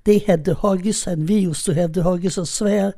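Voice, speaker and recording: Older woman, Hungarian accent, interviewee, mellow voice, reflective, unhurried. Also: lowish voice, steady tone. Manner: unhurried, reflective, simple phrasing. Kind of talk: oral history interview.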